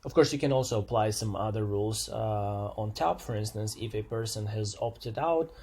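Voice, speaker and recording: A man presents an unscripted software walkthrough, explaining step by step in a slightly hesitant way and repeating phrases as he goes. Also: fairly low pitch, moderate pace.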